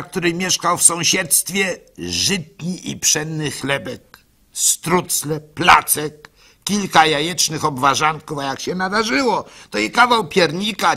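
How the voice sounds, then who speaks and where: A trusting, simple village baker tells his story in a radio play. His voice is husky and bright, swinging widely in pitch as he confides and questions himself. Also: full character voice. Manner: expressive storytelling, halting and self-questioning. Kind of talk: dramatic first-person radio monologue.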